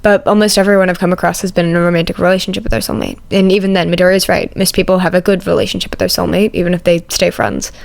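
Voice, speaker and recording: A woman voicing a laid-back, wry young man in an audiobook with a careful, deadpan drawl. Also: lowish voice, very fast, gravelly, few pauses, dry recording. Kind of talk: fan fiction audiobook character voice.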